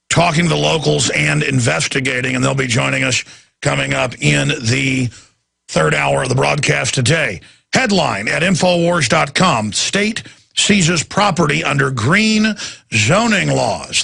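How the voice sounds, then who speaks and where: Hoarse raspy radio guy ranting, super gravelly, dry studio sound. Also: a little high, sharp tone, no room sound. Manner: ranting, indignant. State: hoarse, straining to talk. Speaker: radio talk show host. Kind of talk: combative political commentary.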